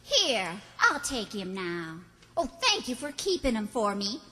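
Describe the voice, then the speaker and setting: A woman's voice: on the high side, sing-song, measured pace, sharp tone, full character voice. A woman playing a courteous young mother in an old-time radio comedy. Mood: brisk, grateful. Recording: reverberant hall stage recording.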